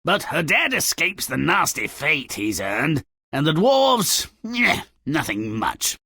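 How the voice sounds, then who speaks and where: A man voicing a sardonic, grotesque little dwarf storyteller in a video game, gleefully malicious and melodramatic, with a raspy, gravelly voice and a sneering, mocking delivery. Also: fairly high pitch, huge pitch swings, takes it slowly, bright, forceful tone, dry recording, full character voice. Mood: wry storytelling. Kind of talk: theatrical video game character narration.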